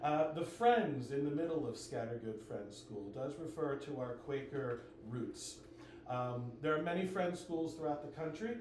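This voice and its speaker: A man, an enrollment manager, giving a relaxed school open house presentation with frequent ums. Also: fairly high pitch, normal speed. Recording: very echoey room, faint noise.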